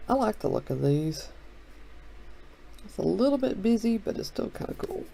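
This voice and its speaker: A middle-aged man hosting a casual, relaxed chat, thinking aloud as he opens packs of cards. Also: high voice, moderate pace, creaky, noisy background. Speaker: card shop host. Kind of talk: casual chat while opening card packs.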